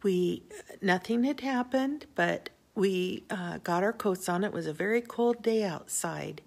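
An older woman gently telling children a personal faith story indoors, in a homely storytelling way with small pauses. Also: mid-range voice, vocal fry. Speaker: Sunday school teacher.

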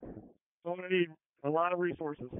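Male fire chief on a phone-like radio channel, high voice, urgent and procedural. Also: steady tone, moderate pace, clear, resonant tone, dry recording. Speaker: fire battalion chief at an incident. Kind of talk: terse emergency radio traffic. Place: at a fire and collapse scene. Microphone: two-way radio.

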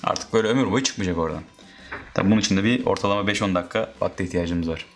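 A man's voice: sits low, racing, faint music behind. A man narrating a casual step-by-step how-to as a DIY host.

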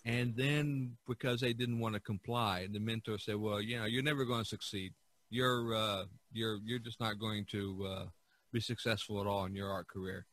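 Bright-voiced male podcast host, low pitch. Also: fairly even intonation, normal speed. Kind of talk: casual podcast discussion.

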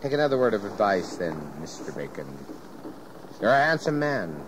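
An actor playing a jovial, garrulous, folksy ship captain in an audio history drama. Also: male voice, fairly low pitch, big pitch swings, moderate pace. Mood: advising. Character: hearty, cantankerous-sea-loving ship captain, folksy and fatherly. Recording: clear background noise of ship ambience.

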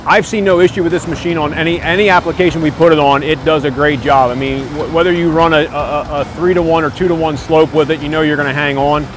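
A man's voice: fairly high pitch, steady tone, brisk, few pauses. An American man in his forties, a construction company owner, speaking plainly and casually in an interview with frequent "you know" asides. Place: outdoors on a construction site. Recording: music bed under the voice. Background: background music.

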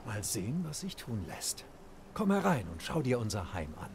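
Young husband, gentle, affectionate, faint background noise. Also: male voice, medium pitch, very animated, moderate pace. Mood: tender. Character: young bandit nobleman, tender newlywed husband. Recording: game audio with faint noise.

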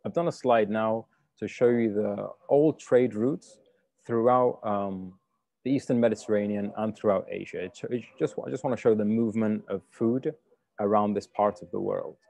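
A man's voice: on the low side, normal speed, creaky, mellow, dry recording. A man who grew up in the UK, a cook giving a polite presentation on a video call, with many fillers and restarts. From United Kingdom. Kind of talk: webinar slide talk. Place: home room on a video call.

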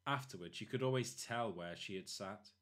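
A man narrating a children's storybook expressively, in short, deliberate phrases. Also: on the low side, fairly bright tone. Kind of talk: expressive children's read-aloud.

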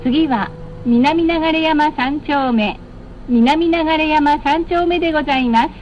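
A slightly muffled recording of a woman announcing on a bus, with some vehicle noise behind her. Her voice is high, and she speaks formally and politely, enunciating each scripted notice with care. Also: takes it slowly. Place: played over a bus's cabin speakers.

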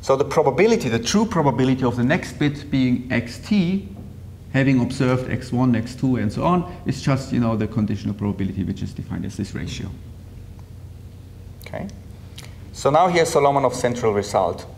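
A man's voice: lively intonation, brisk, creaky, darkish tone. Middle-aged man lecturing in a reverberant hall. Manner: checks in with short tags like okay and yeah. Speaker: academic lecturer presenting a paper. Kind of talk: technical conference lecture, explanatory and semi-improvised. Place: indoor lecture room with projector screen. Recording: reverberant lecture hall, rumbling background noise.

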